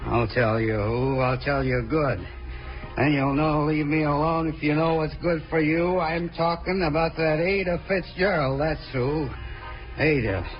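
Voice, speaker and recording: On a dull old radio recording with music behind, an actor plays a drunken American tough guy with a fairly high male voice. He blusters and slurs, boastful and spoiling for a fight. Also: measured pace, close to an everyday voice. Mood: menacing, boastful. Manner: slurred, blustering, threatening. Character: belligerent, boastful, drunken short stocky male thug. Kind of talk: 1950s radio crime drama acting.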